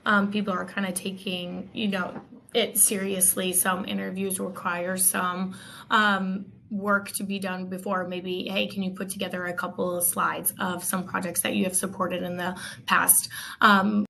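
A woman's voice: mid-range voice, little pitch movement, measured pace, vocal fry, pushed and bright, a little hiss or hum. A woman speaking conversationally as a recruiter guest, offering practical advice.

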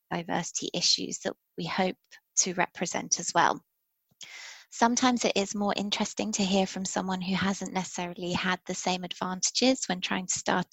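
A woman speaking as a conference producer, giving measured, professional answers as a podcast interview guest. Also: fairly low pitch, gravelly, husky, dry recording, rough recording.

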